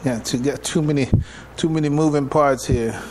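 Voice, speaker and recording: A man giving a public religious lecture as an imam, speaking in a halting, deliberate way and often repeating his phrases. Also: on the high side, a little mellow, slight background noise. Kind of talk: public religious lecture, partly reading from notes. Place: live public address in a room.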